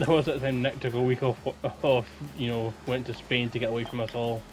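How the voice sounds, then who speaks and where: A man chatting casually in a halting, digressive way. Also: mid-range voice, little pitch movement. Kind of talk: casual chat. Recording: clearly noisy background.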